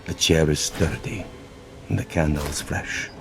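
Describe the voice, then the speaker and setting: A man's voice: extremely low pitch, steady tone, measured pace, vocal fry, heavily acted. A man acting a stern monk jailer in a radio drama, solemn and formal, soothing on the surface and unyielding beneath. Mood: ominous calm. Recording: music bed under the voice.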